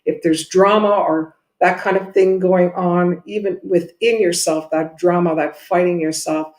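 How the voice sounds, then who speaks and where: A woman's voice: on the low side, fairly even intonation, unhurried, darkish tone. A woman giving a spiritual reading and advice over a video call, speaking in an encouraging, reassuring manner. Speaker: guest intuitive medium giving a reading. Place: indoor room, video call. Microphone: video call microphone. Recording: roomy echoing video call.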